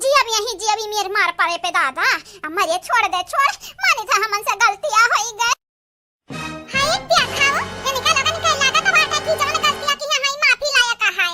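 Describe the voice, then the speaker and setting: A woman voicing squabbling, melodramatic village women in a homemade comedy cartoon, with an eastern Uttar Pradesh accent, scolding and exclaiming through a pitched-up effect. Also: a little fast, pushed and bright, faint music behind, exaggerated voice. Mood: pleading.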